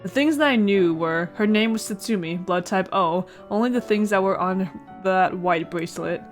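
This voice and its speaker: A woman's voice: mid-range voice, normal speed. A woman hosting a casual let's play, reading a visual novel aloud with playful asides and stumbles over words. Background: music.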